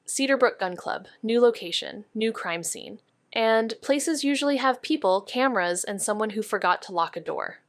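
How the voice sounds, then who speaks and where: An actress playing a sharp, wry young woman detective in a noir audio drama, with a dry, clipped, probing manner. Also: a little high, expressive, normal speed, dry recording. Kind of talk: neo-noir audio drama dialogue and narration.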